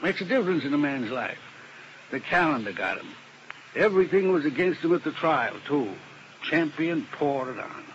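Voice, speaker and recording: A man's voice: a little high, expressive, moderate pace, slight background noise, close to an everyday voice. An actor playing a male defense lawyer with ulcers who talks in a rambling, wistful way, in a 1950s radio drama. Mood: rueful. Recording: muffled old radio recording.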